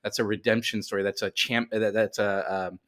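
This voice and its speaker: A man with a fairly low voice talks casually as an interview guest. He explains creative ideas by thinking aloud, often doubling back to rephrase himself in a halting flow. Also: little pitch movement, fairly bright tone. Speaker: podcast guest, writer of comics and screenplays.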